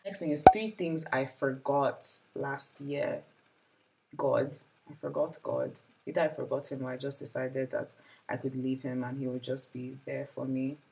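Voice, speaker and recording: A woman's voice: extremely low pitch, steady tone, moderate pace, creaky, background noise. A woman in her twenties chatting casually and reflectively to her vlog audience, with many "like" fillers. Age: 20s. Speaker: vlogger. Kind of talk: casual year-in-review chat.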